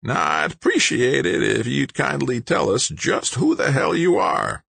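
Ancient scrawny old-timer: gritty rasp, raised pitch, folksy and sharp-tongued, audiobook character. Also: male voice, big pitch swings, measured pace, no room sound, full character voice. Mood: wry, menacing. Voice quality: raspy, grinding, like sandpaper. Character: tiny ancient scrawny old man, wry boss of squatters.

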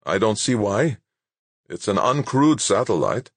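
A man acts out a stubborn, self-important male scientist from an audiobook. His voice is raised and husky, swinging indignantly as he insists on getting his way. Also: lowish voice, lively intonation, measured pace, no echo, pitched above the performer's own voice, full character voice. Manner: insistent, clipped protesting. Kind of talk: acted audiobook dialogue.

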